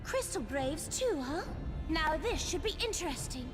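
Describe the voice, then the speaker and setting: A woman's voice: high voice, very animated, unhurried. An actress playing a brash, cheerful young woman brawler in a video game, cocky and breezy. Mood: cocky, eager. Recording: long reverb, music underneath.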